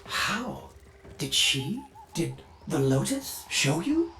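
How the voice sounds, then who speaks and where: A man's voice: a little high, sing-song, slow, vocal fry, pushed and bright, faint music behind, full character voice. An actor voicing a fussy, devoted male ship AI in a video game, with a chirpy, theatrical delivery. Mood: curious, fussy. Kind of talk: video game character acting.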